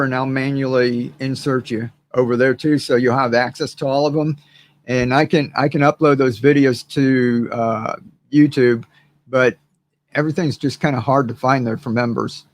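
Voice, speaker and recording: A hoarse man hosting a gardening channel, chatting casually and rambling to his viewers with many fillers and repeated words. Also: mid-range voice. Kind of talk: casual livestream chat to viewers.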